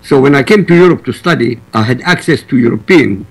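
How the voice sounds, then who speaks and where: Husky guy from iraq, arabic first language, pushing his point hard in a debate. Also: mid-range voice, animated, fairly quick, poor audio. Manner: forceful, emphatic quoting. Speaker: guest. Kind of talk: debate argument.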